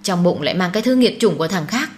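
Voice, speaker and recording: A woman performs a domineering older mother from an audiobook melodrama. She scolds in an indignant, snobbish way, pitched fairly high. There is some noise behind the voice. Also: fairly quick, close to an everyday voice. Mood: furious. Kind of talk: dramatised audiobook storytelling.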